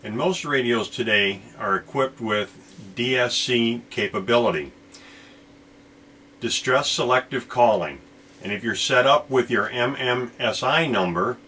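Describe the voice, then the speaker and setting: Male host for a boating how-to, informal explainer, average pitch, audible ambient noise. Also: pushed and bright. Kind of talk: instructional video presenting. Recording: clear background noise, ambient sound.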